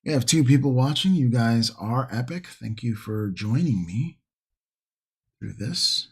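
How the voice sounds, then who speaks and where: A man hosting a casual painting livestream, chatting to viewers in an unhurried, rambling way as if musing to himself, with a husky, gravelly voice. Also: medium pitch, animated. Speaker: livestream host, miniature painting hobbyist.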